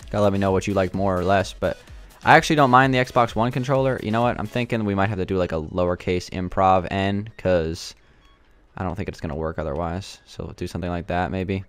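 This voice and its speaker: Low-voiced young man, slightly raspy. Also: fast talker, faint music behind. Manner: thinking aloud, hesitant, many fillers. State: sore throat. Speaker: gaming channel host. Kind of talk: casual gameplay commentary.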